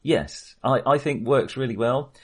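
A man's voice: medium pitch, animated, a little slow, slightly rough. A man in a casual film discussion, thinking aloud hesitantly and often correcting himself. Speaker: film podcast host.

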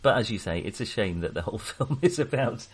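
A man chatting casually about films as a podcast co-host, in a halting, rambling manner. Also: lowish voice, animated, husky. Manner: halting, rambling, often chuckling. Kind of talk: casual film discussion.

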